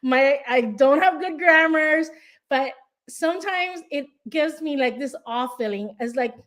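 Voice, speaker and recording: A woman's voice: high voice, a little slow, a bit bright. A Filipino-accented woman from the Philippines, a real estate investor and coach, giving an earnest, encouraging motivational speech, restarting and repeating phrases as she goes. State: tired.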